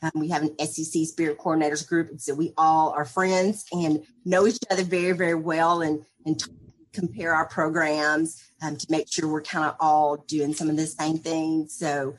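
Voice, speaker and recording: A woman's voice: low-pitched, little pitch movement, moderate pace, poor audio. A woman, a spirit program coordinator, answering interview questions in a chatty, upbeat way with many fillers.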